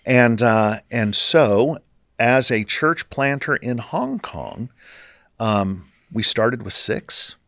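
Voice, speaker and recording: A man's voice: medium pitch, slow pace, no room sound, clean studio sound. A middle-aged man from Northern California speaking as a ministry leader in a casual, earnest interview. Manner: earnest, animated storyteller.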